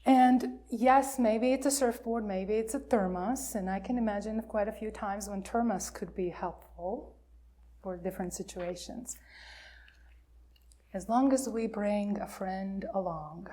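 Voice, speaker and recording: A woman minister telling a story to a congregation of all ages, pausing and putting questions to her listeners. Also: mid-range voice, warm tone.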